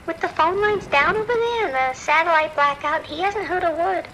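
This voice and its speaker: A woman's voice: very high-pitched, normal speed, pushed and bright, a little hiss or hum, full character voice, poor audio. A woman playing a worried, kind-hearted hotel worker in an audio drama, hesitant and familiar in her pleading. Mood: worried, sympathetic. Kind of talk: naturalistic audio drama acting.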